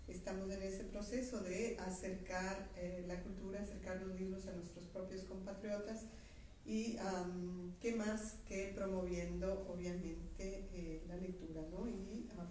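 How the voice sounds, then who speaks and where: A woman from Ecuador giving a formal welcome speech at an embassy event, hesitant with many fillers. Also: medium pitch. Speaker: embassy representative welcoming guests. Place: embassy hall. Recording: echoey room from far off, noisy.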